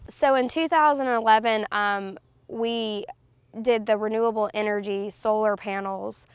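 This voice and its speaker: A woman's voice: medium pitch, steady tone, a little slow, clear, resonant tone, no echo. A woman in her thirties from a farming family, interviewed outdoors on a lapel mic, talking conversationally with frequent "um" fillers. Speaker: farm family member. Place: outdoors in tall grass by trees.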